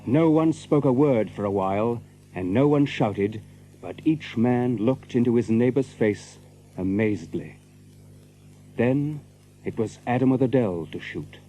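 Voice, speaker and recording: Man as a mellow medieval adventure storyteller. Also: mid-range voice, expressive, measured pace, a little hiss or hum, lightly acted, low-quality recording. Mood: measured. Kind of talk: scripted storybook narration.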